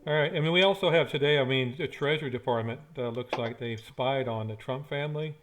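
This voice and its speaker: A man hosting a casual political talk show, chiming in often with quick agreement. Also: mid-range voice, crowd noise.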